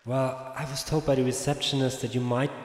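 A man's voice: mid-range voice, level tone, fairly quick, natural voice. A man playing an exasperated ordinary citizen in a comedy radio play, incredulous and reluctantly polite. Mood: bewildered. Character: exasperated ordinary man battling absurd bureaucracy. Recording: echoey hall reverb.

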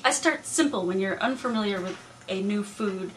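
A young woman farmer answering interview questions in a small cluttered office, calm and plain, explaining step by step. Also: mid-range voice, normal speed, a little hiss or hum, low-quality recording. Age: young adult. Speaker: mushroom and poultry farmer.

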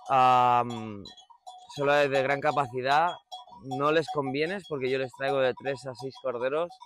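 A man's voice: medium pitch, fairly bright tone. A man from Barcelona with a Catalonia Spanish accent, a shepherd talking casually about his own life in an interview. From Barcelona, Spain. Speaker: shepherd, livestock farmer. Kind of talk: casual interview answers, personal storytelling.

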